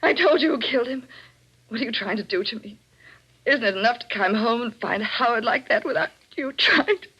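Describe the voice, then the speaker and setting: An actress playing a high-strung, determined widow with a dramatic, urgent delivery in a 1940s radio mystery. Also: female voice, animated, a little fast, bright, forceful tone, slightly rough, full character voice. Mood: defensive, upset. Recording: dull old radio recording.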